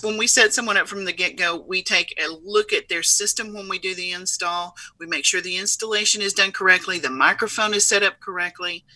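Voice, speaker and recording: A woman speaks as an interview guest in a strikingly bright, forward voice. She is earnest and conversational, repeating phrases for emphasis. The recording is close and dry. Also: medium pitch, no room sound, rough recording. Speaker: interview guest, software company CEO.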